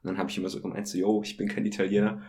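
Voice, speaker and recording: A young man chatting casually as a podcast guest, relaxed and amused, with frequent fillers. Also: lowish voice, rapid-fire. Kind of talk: casual chat.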